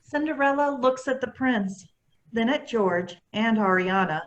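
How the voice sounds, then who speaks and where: A woman's voice: fairly high pitch, a little slow, highs cut off. A woman reading stage directions as a scripted narrator.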